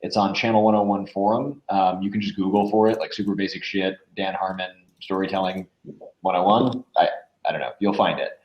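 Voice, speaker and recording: A man giving casual advice as a podcast guest, speaking informally and somewhat haltingly. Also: sits low, fairly even intonation. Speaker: podcast guest, audio drama creator. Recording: roomy, dull lo-fi audio.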